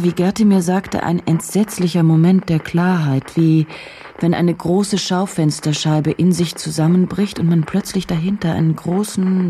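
A reflective, self-questioning woman journalist in a radio drama. Her voice is dark and soft with a strong creak, and her delivery is deliberate and brooding. There is clear noise behind her. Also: on the low side.

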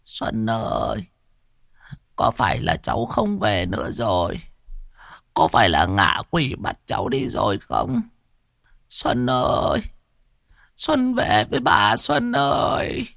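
A man voicing a frail elderly woman risen from her deathbed, confused and fretful, with a thin, breathy, quavering voice. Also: lowish voice, wildly swooping pitch, slow pace, long pauses, a bit bright, dry recording, clean studio sound, exaggerated voice. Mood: bewildered, pleading.

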